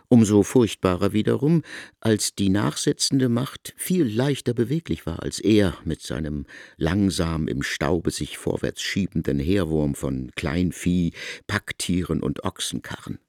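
Male narrator for literary audio drama; low, creaky voice with highly varied intonation. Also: a little mellow, no room sound, clean studio sound.

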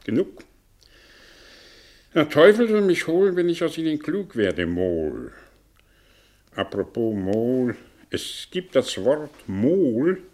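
An actor playing a middle-aged, suspicious, ironic professor in a 1960 radio play, speaking in a theatrical, teasing and probing way. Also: male voice, medium pitch, a little mellow.

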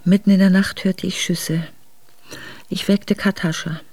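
An actress playing an earnest, reflective exiled noblewoman, narrating her memoir in a measured way for a radio drama. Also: fairly low pitch, steady tone, darkish tone, dry recording. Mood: grave, reflective. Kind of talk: literary first-person radio drama narration.

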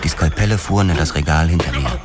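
An actor playing a grim, weary male police detective, narrating a hard-boiled crime radio play in a measured way. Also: extremely low pitch, lively intonation. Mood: grim, intense. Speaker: first-person narrator. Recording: music loud behind the voice.

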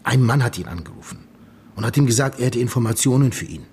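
An actor playing the grave, loyal friend of a murdered man in a radio drama, speaking in an earnest, measured way. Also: medium pitch, lively intonation, a little mellow, slight background noise, lightly acted. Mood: earnest, firm. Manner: measured, earnest, telling things step by step. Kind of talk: German radio drama dialogue.

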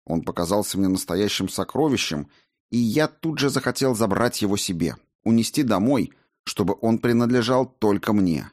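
A man's voice: fairly low pitch, expressive, moderate pace, a little mellow. A man reading a first-person book aloud as scripted narration. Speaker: audiobook narrator.

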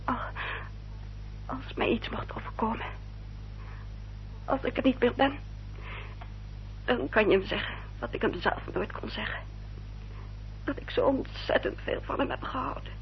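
An actress playing a sorrowful, resigned young gentlewoman, speaking formally and earnestly in a radio play. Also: fairly high pitch, unhurried, pauses often. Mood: sad resignation. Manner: formal, earnest, self-sacrificing. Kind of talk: Dutch radio play acting. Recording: dull, noisy old radio recording.

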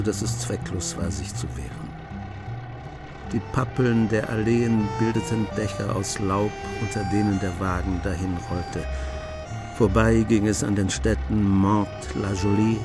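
A man narrates a scripted radio play. His voice sits fairly low and he tells the story in a measured way. Music runs behind his words.

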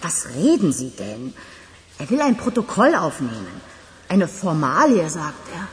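Lively, flustered woman messenger. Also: sits low, measured pace. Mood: agitated. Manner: urgent, agitated. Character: flustered, earnest woman fetching a sanatorium guest. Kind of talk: German radio crime drama acting.

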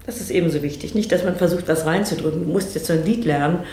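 Woman music teacher talking reflectively, kinda low, roomy sound. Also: fast talker, dark tone. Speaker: music and rhythm teacher of a theatre group. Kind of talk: reflective interview talk.

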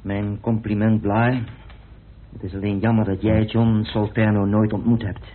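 An actor playing a dignified, quietly bitter man in a radio play. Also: on the low side, little pitch movement, normal speed, natural voice. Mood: resigned, bitter. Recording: muffled old radio recording with hiss.

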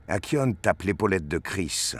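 A man's voice: deep voice, lively intonation, unhurried, no room sound. A man reading scripted narration for a science fiction audio drama.

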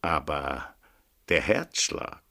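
Radio drama: officious Austrian village police sergeant, gravelly edge, lively inflection, curt interrogator who keeps probing. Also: male voice, very deep voice, very slow, heavily acted. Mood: insistent. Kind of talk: German-language radio drama acting.

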